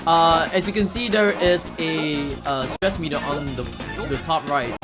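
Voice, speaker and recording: A man's voice: fairly high pitch, fairly even intonation, measured pace. A man giving casual live commentary while playing a video game, moving between plain explanation and playful mock-dramatic exclamation. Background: video game music.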